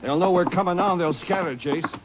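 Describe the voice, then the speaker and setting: A man's voice: high voice, fairly quick, lightly acted. An actor playing a practical, doubting lawman's partner, plain-spoken and clipped, in a 1950s radio crime drama. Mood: urgent. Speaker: supporting actor. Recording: noisy, muffled old radio broadcast.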